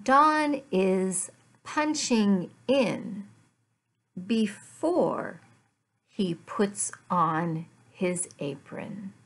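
A middle-aged woman, an English teacher, giving a lesson in deliberate, word-by-word phrases with repeated questions and answers. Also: medium pitch, lively intonation, fairly bright tone. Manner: deliberate, word-by-word enunciation for learners. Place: small furnished room at home.

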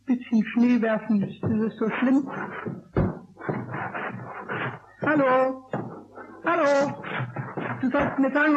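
A man voicing a childlike, mischievous, whiny little kobold in a radio play, squeaky and stammering. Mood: sulky pleading. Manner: stammering, pleading, cheeky. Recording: dull, noisy old radio play recording.

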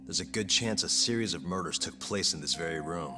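Man acting cocky ex-lawyer sleuth, deep voice, sardonic, laid-back, game cutscene over music. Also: fairly quick, natural voice. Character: cocky, defiant male ex-lawyer turned detective. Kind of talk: video game cutscene drama. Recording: music under the dialogue.

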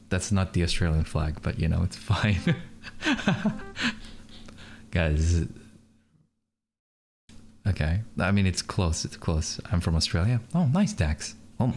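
A man's voice: sits low, darkish tone. A young man from Malaysia, streaming and chatting casually with his viewers, laughing often.

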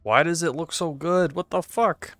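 A young man chatting casually while gaming, excitable and quick to swear. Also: on the high side, dry recording, soft background music. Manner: excitable, profane. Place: virtual reality game voice chat.

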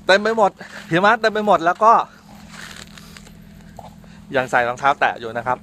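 A middle-aged man talking casually and excitedly to a camera held at arm's length, outdoors on a frozen lake in the snow. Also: mid-range voice, moderate pace, slight background noise. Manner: excited, chatty, exclaiming. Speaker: travel vlogger. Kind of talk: casual travel vlog.

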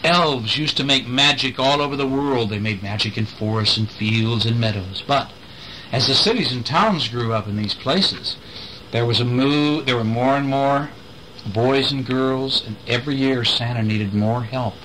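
A middle-aged man reading a picture storybook aloud to a child in an expressive way, with chatty asides and questions. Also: mid-range voice, moderate pace, low-quality recording. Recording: home recording with faint background noise.

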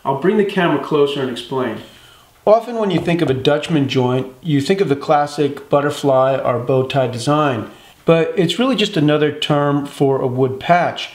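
A man in his thirties calmly walking through the steps of a woodworking project as a channel host, recorded in his workshop. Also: fairly high pitch, normal speed. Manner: calm step-by-step explaining. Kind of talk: instructional workshop narration. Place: woodworking shop, indoors. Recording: echoey workshop room.

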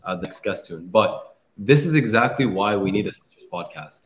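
A man's voice: on the low side, animated, normal speed, reverberant. A man hosting a podcast who makes his point emphatically and persuasively. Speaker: podcast host. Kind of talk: casual podcast chat.

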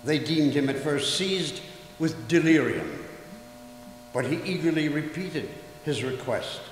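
An older man in his seventies, a Polish speaker too, giving a measured, theatrical formal reading on stage. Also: a little high, expressive. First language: English and Polish, Polish first language. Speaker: actor and reader in a recital. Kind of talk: formal dramatic reading of letters. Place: concert stage in a hall. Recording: echoey hall with audience noise.

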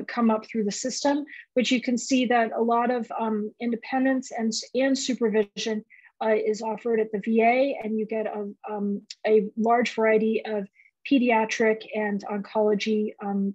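A woman's voice: a little high, darkish tone, husky, dry recording, muffled recording. A middle-aged woman presenting a residency program on a video call, explaining with many fillers. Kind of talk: presenting slides in a virtual open house.

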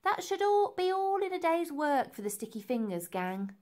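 Lady doing a cheeky kid thief girl from a storybook, eager and emphatic, pitch jumps around. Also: sing-song, normal speed, darkish tone. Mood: confident. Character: bold, cheerful girl child pickpocket.

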